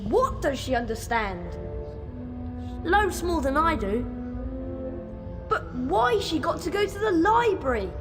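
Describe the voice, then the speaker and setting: An actor playing an earnest young schoolboy wizard with an English accent in a video game cutscene. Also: male voice, very high-pitched, big pitch swings, takes it slowly, pauses often, music in the background, heavily acted. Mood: puzzled.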